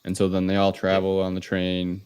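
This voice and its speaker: A male podcast co-host with a very deep voice chats casually about a film. He recounts things loosely and a bit hesitantly, and the sound is dry and free of room echo. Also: monotone, a little fast, a little mellow, smooth. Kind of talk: casual film review chat.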